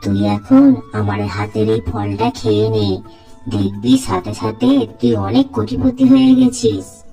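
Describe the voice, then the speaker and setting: Gravelly greedy sorcerer guy in a cartoon, dark slow sly voice, music under it. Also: a bass voice, huge pitch swings, exaggerated voice. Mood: sly, wheedling. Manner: sly, gloating cackle. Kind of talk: cartoon voice acting.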